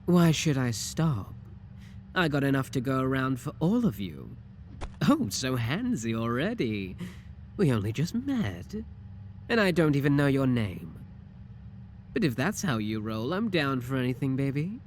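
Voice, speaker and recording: A man's voice: mid-range voice, big pitch swings, measured pace, slight background noise. A male voice actor playing a shameless, flirty tiny clone scientist in an ASMR audio roleplay, teasing and suggestive in a theatrical way.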